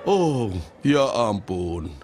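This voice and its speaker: A man voicing a pompous, easily flustered railway controller in a children's cartoon, with theatrical drawn-out exclamations. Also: fairly low pitch, sing-song, loud music behind the voice, exaggerated voice.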